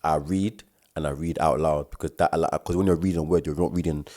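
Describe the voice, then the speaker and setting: Young South London man, very low and mellow voice, fast slangy co-host who stammers and repeats. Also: fairly even intonation. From South London, England. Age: young adult. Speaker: podcast co-host. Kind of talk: casual chat.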